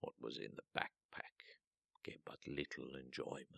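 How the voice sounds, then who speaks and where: A man reading a literary story aloud in a solemn, deliberate way, breaking phrases with long pauses. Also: extremely low pitch, flat delivery, gravelly, sharp tone, whispered. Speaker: narrator reading a translated story.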